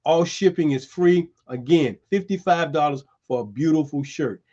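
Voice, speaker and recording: A man hosting a streamed show, chatting loosely and calling people "man" as he pitches merchandise. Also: normal speed, warm tone, highs cut off. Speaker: host. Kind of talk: casual chat and merchandise pitch. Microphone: streaming setup.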